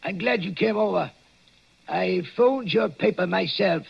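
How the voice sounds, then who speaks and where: An actor playing a nervous old night watchman in a 1940s radio drama, hushed and hesitant. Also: high-pitched, measured pace, vocal fry, breathy edge, full character voice. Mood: uneasy. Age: older. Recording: dull old 1940s radio broadcast with music.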